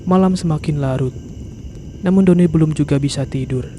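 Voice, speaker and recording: A man's voice: fairly high pitch, a little fast, background noise. A man narrating a horror story in a scripted storytelling style.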